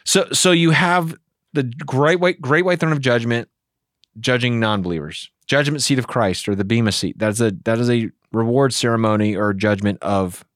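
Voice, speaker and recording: A man's voice: medium pitch, moderate pace, no room sound, studio quality. A man, a pastor, talking earnestly and with animation in a casual theology discussion. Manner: earnest, animated, acts out inner voices.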